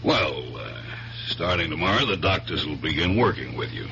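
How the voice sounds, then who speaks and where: Deep-voiced, placating police colleague. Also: male voice, expressive, moderate pace. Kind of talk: 1970s radio drama acting. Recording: muffled, noisy 1970s radio recording with hum.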